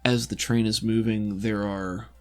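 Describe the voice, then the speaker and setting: A man narrating a tabletop game as game master, in a measured, descriptive storytelling manner. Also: on the low side, level tone, a little mellow, clean tone, faint music behind.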